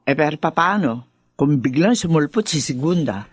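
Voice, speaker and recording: A teasing, gossipy aunt. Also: male voice, on the high side, lively intonation, moderate pace, breathy edge, no room sound. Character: teasing aunt, curious and chatty. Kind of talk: radio drama acting.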